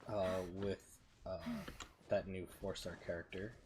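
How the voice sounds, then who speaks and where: A man's voice: sits low, little pitch movement, measured pace, background noise. A man streaming a video game with informal commentary, thinking aloud as he plays. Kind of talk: casual gameplay commentary.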